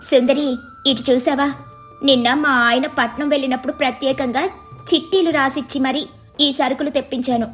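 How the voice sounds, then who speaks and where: A woman's voice: high voice, steady tone, moderate pace, fairly bright tone, faint music behind, pitched above the performer's own voice. A woman voicing a boastful, eager young village housewife with a showy, confident manner in a storybook tale. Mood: excited, boastful. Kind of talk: storybook dialogue.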